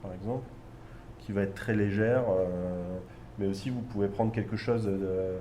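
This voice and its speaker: A middle-aged man with a low, mellow voice explains things patiently, pausing on fillers. Faint ambient noise sits behind him. He speaks as a bar owner answering an interview. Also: fairly even intonation. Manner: measured, explanatory, with frequent fillers.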